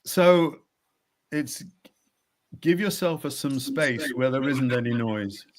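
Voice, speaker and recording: Slow-paced male coach, measured and reflective, middle pitch. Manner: slow, measured, with repeated words and soft laughs. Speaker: executive coach. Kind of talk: reflective podcast conversation.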